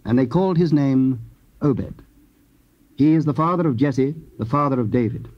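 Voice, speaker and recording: A man giving measured, solemn scripted scripture narration. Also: medium pitch. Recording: dull old cassette tape.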